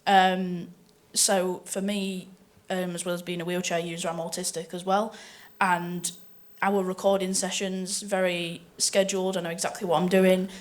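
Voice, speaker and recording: A woman's voice: lowish voice, level tone, moderate pace, few pauses. A woman panelist chatting informally and casually at a live event about her work. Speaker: voice actor and panelist.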